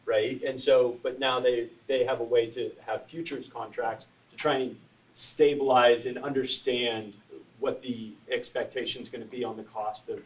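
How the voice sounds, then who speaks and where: A man's voice: mid-range voice, flat delivery, moderate pace. A man on a panel explaining his point through an analogy, checking in with "right?". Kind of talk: panel discussion. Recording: distant room mic.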